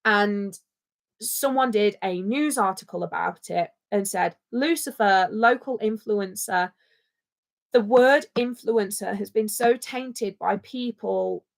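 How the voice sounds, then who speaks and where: A woman's voice: medium pitch, gravelly. A woman co-hosting a casual livestream chat, speaking with passion and repeating her points for emphasis. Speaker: co-host.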